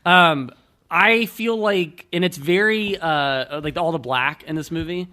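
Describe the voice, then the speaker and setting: A man with a very bright, forward voice that sits a little high. He talks casually as a co-host on a film podcast, in an informal conversational style. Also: a little slow. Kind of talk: casual chat, film discussion. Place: outdoors.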